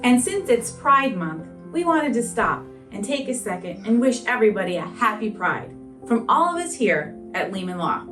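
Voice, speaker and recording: A woman's voice: a little high, very animated, normal speed, a bit bright, recorded from a distance, soft background music. A woman from a law firm giving a friendly, inviting promotional greeting. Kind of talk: scripted promotional message.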